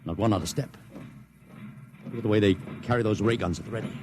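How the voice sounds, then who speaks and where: An actor playing a slick, cynical, commanding publicity promoter with bossy patter in a 1950s radio drama. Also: male voice, lowish voice, lightly acted. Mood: awed, grim. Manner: bossy, fast-talking patter. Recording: noisy vintage 1950s radio recording.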